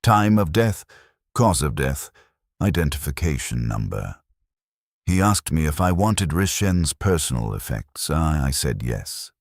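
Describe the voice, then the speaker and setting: A man narrating a scripted first-person war story in a grave, deliberate manner with short, somber sentences. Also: a bass voice, gravelly, breathy edge, no echo, clean studio sound.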